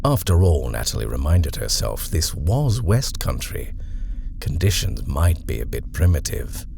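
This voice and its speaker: A man reads a horror story aloud in a measured way. His voice is very low, yet his intonation moves freely and expressively. The reading stays steady and deliberate. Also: gravelly, background noise. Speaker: narrator.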